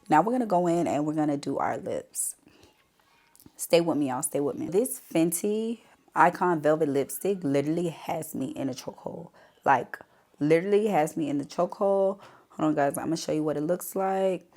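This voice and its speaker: A woman with a notably low voice talks through a makeup tutorial in a loose, chatty way, addressing viewers directly. Her voice sounds stuffy and congested, as she is getting over a cold. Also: darkish tone. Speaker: beauty vlogger.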